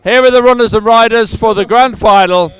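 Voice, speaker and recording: A man's voice: sits high, fairly quick, fairly bright tone, clear, resonant tone, dry recording. A man working as a roving sports reporter, interviewing and announcing in a chatty, informal manner. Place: outdoors beside a race course.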